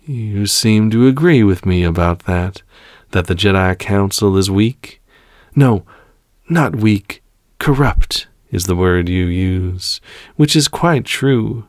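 A man's voice: on the low side, expressive, no echo, clean studio sound. Man playing a silky, condescending young villain. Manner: smooth, drawn-out, condescending.

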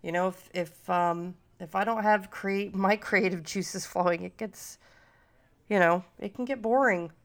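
A woman's voice: medium pitch, a little slow. A middle-aged woman hosting a podcast, talking casually, nasal and stuffy from a cold, rambling with many fillers. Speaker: podcast host.

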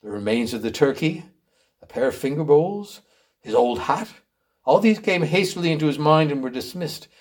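An earnest author reading aloud. He has a fairly high male voice and a theatrical, sentimental, slightly pompous style of storytelling. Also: darkish tone. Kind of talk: theatrical literary narration.

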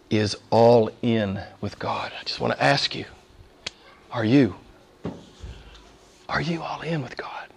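Fervent high-voiced preacher man, hoarse. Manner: fervent, swings from hushed to shouting. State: losing his voice from a cold. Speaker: pastor. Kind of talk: sermon.

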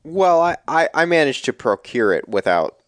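A man's voice: a little high, sing-song, normal speed, a bit bright, no room sound. A man co-hosting a casual sports podcast, rambling and joking with plenty of restarts. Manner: rambling, jokey, lots of restarts. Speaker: podcast co-host.

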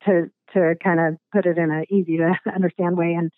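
A woman from Alaska, guest on an interview, talking casually with a raspy voice and many pauses and restarts. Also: on the low side, level tone, no room sound. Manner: halting, many ums and restarts. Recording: phone-line sound.

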